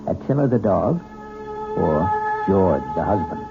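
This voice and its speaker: A man's voice: deep voice, expressive, unhurried, gravelly. A male host narrating a radio mystery in a theatrical, ominous manner. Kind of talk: scripted dramatic narration. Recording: tinny old radio with music bed.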